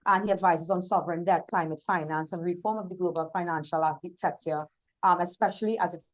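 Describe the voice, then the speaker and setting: A woman's voice: lowish voice, rough recording. A woman with a Trinidadian accent, moderating a hybrid panel session and introducing the speakers formally, with some fillers. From Trinidad and Tobago. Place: outdoor hot venue, hybrid session.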